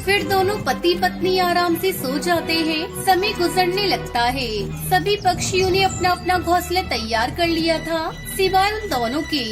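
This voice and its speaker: A woman's voice: very high voice, a little slow, few pauses. A woman reading scripted narration for a children's cartoon story. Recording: music under the narration.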